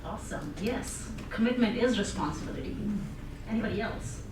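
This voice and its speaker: A woman's voice: mid-range voice, animated, a little fast. A woman with an Indian accent giving an interactive speech, asking the audience questions and laughing often. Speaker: public speaker. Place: conference room with audience. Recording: echoey room, voice from far off, noisy.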